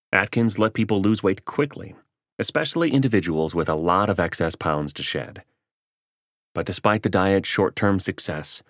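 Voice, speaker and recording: A man reading a nonfiction audiobook as its narrator, in a measured, articulate way. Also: deep voice, no echo, studio quality.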